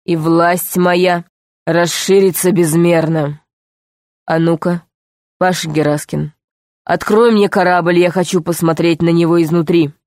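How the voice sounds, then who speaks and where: A woman voicing a calm, mockingly sweet male underground tyrant in a storybook, speaking in a slow, deliberate, commanding way. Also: fairly low pitch, no room sound, clean studio sound, lightly acted. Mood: gloating. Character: calm, menacing male underground ruler, mockingly sweet, power-hungry villain.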